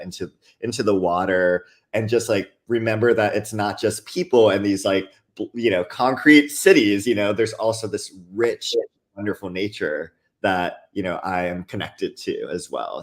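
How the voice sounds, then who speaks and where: Low-voiced earnest man with casual fillers. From Hawaii. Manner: earnest, relaxed, many fillers like "like" and "you know". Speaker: guest author. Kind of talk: casual conversational interview.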